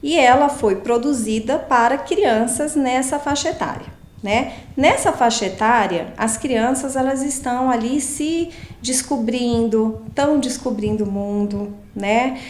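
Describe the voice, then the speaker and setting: A woman's voice: on the high side, unhurried, a little mellow, roomy sound. A woman psychologist answering interview questions, explaining with many fillers and tag questions.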